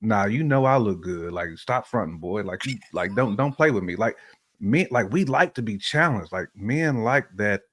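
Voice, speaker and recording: A man's voice: lowish voice, animated, normal speed, a bit bright, a little hiss or hum. A man co-hosting a relationship podcast, chatting casually and colloquially with opinionated, slangy phrasing and repeats for emphasis.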